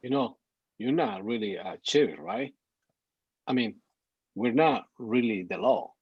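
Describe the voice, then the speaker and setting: A man plays a loyal, easygoing older street sidekick in a drama read. His husky voice moves slowly and casually, with halting phrases. The recording is dry and a bit dull, like a video call. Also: lowish voice, animated, no room sound, heavily acted. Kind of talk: screenplay table read. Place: remote virtual call.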